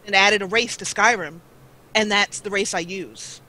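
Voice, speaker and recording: A woman's voice: medium pitch, moderate pace, pushed and bright, rough recording. A woman chatting casually as a guest on a gaming live stream, rambling and playful, over a basic microphone. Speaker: guest, gaming roleplay video creator. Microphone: low-grade microphone on a live call.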